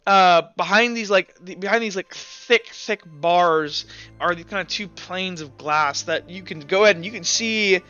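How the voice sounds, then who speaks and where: A man with a fairly high, bright voice runs a tabletop roleplaying game as game master. He describes scenes off the cuff, stopping and repeating himself as he builds them. Music plays behind him. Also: clear, resonant tone, dull audio. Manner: halting, hesitant descriptions full of repeats.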